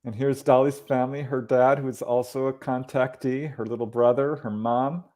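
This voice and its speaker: A man's voice: medium pitch, fairly even intonation, moderate pace, darkish tone. A man co-hosting a video call, walking through slides with easy laughs and clarifying questions. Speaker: co-host.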